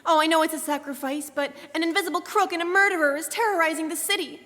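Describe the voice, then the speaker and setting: A woman's voice: very high-pitched, fairly even intonation, fast, pushed and bright. A woman playing a detective's emphatic companion in old-time melodramatic radio drama style. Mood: frightened, pleading. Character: anxious, pleading female companion of a detective. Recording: live stage, reverberant church hall.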